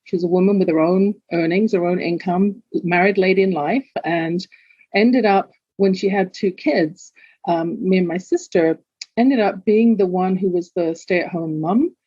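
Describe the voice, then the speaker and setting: A woman's voice: on the low side, normal speed, warm tone, breathy edge, no echo. Brief: older English woman with a London accent, reflective guest storyteller, dull dry remote line. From England. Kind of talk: candid personal storytelling.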